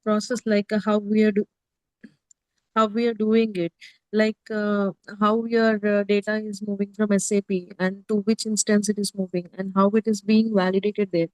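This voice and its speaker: A female student talks in a conversational way during a class. Her voice sits at a medium pitch, and the sound is dry, with no room echo. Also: little pitch movement, moderate pace, a little mellow.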